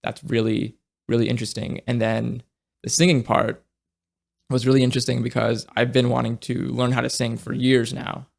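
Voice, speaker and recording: A young man speaks in a relaxed, conversational way. His voice is gravelly and full of vocal fry at a middling pitch, and he often trips over his words as he thinks aloud. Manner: halting, with many restarts and fillers; reflective, searching for words. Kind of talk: casual conversation.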